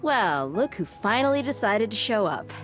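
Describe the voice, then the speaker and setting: A woman's voice: medium pitch, sing-song, normal speed, natural voice. A woman playing a brash, laid-back, teasing woman fighter in a video game, casual and cocky. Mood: teasing, pleased. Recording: faint music behind the voice.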